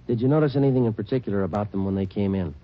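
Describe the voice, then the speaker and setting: An actor playing a terse, matter-of-fact police detective, deadpan, in clipped old radio drama style on an old radio recording. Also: male voice, lowish voice, racing, dull audio, natural voice. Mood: businesslike. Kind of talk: 1940s-50s radio acting, clipped narration.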